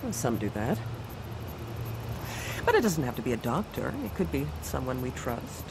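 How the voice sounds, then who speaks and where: An actress playing an imperious, manipulative commander's wife in an audio drama, haughty and theatrical. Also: female voice, extremely low pitch, very animated, moderate pace, background noise, heavily acted. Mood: insinuating, persuasive.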